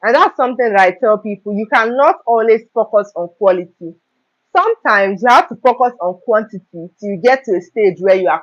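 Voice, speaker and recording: A young woman hosting a live stream, talking earnestly about self-improvement and often checking in with "okay?" and "right?". Her throat is slightly hoarse. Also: medium pitch. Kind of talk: motivational talk on a live stream. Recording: dull lo-fi live stream audio.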